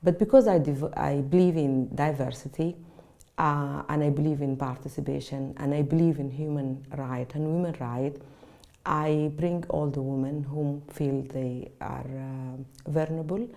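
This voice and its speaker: Low, gravelly woman from Sudan, halting. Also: fairly even intonation, dark tone. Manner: halting, with many fillers and restarts. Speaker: community association founder. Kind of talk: unscripted rally speech. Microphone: video call.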